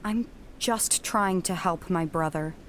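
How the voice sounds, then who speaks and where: A woman's voice: on the low side, slow, slight background noise. A woman playing a determined, feisty young girl with a blunt, youthful manner in a family audio drama. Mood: defiant.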